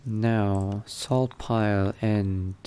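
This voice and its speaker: A man doing in-character game commentary, thinking aloud in a musing, unhurried murmur to himself. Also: on the low side, fairly even intonation, warm tone, clear, resonant tone. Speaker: gamer narrating a roleplay playthrough.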